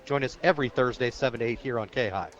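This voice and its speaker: A man's voice: medium pitch, fast, highs cut off. An American man doing live radio play-by-play of a football game outdoors, describing the action as it unfolds. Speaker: play-by-play sports announcer.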